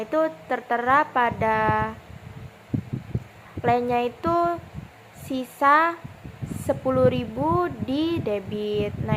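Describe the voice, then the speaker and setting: A young woman, explaining step by step in a student presentation, informally and haltingly, repeating and correcting herself. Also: a little high. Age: young adult. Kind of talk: explaining accounting exercise in a presentation. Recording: noisy amateur recording with clicks.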